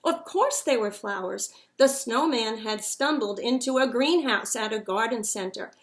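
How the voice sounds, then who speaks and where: A woman reading a picture book aloud to children in an expressive, dramatic storyteller's manner. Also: a little high, normal speed.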